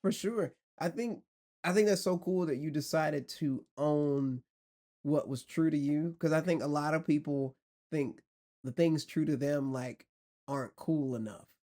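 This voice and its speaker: A young man hosting a podcast, asking questions in a relaxed, friendly, slangy way. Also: fairly high pitch, unhurried. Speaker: podcast host. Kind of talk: casual interview chat.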